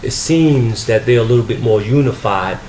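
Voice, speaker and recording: A man interviewing a guest in the guest's home, speaking earnestly with many pauses and fillers. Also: medium pitch, a little mellow, echoey room, a little hiss or hum. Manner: measured, filler-heavy, earnest. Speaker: interviewer, magazine publisher. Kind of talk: interview hosting.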